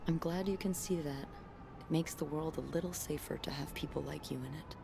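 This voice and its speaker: A woman playing a guarded, caring character in a video game, confiding haltingly in a friend. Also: sits low, gravelly, noisy background. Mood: serious, caring. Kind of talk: naturalistic video game acting.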